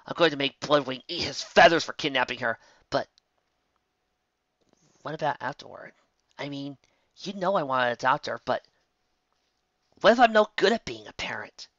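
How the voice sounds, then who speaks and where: Man playing a brash athletic young mare, wide-swinging pitch. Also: lively intonation, normal speed, long pauses, fairly bright tone, no echo, lo-fi sound. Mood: fierce, impatient. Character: brash, hot-headed athletic young female flying pony.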